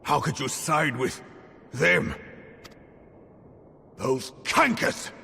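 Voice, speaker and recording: An actor playing a grim, vengeful warrior general in a fantasy game, declaiming with anguish. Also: male voice, a little high, sing-song, drawn-out words, vocal fry, bright, forceful tone, husky, exaggerated voice. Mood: bitter, anguished. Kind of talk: dramatic game voice acting. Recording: long hall reverb.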